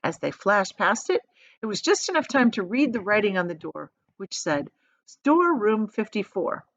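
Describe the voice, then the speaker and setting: Animated woman reading a children's story. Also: mid-range voice, moderate pace, no room sound, dull audio. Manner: dramatic, emphatic. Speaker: storybook reader, teacher. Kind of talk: expressive children's story read-aloud.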